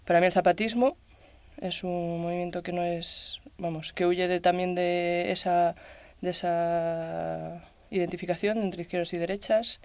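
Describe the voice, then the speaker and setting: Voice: medium pitch, little pitch movement, measured pace, a little mellow, clear, resonant tone, no echo. A guest on a radio round table, thinking aloud in a reflective, hesitant way with many fillers. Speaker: discussion guest. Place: radio studio.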